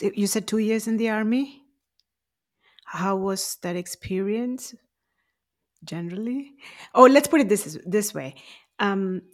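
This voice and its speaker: Woman asking interview questions, slow and a bit hesitant, casual, middle pitch.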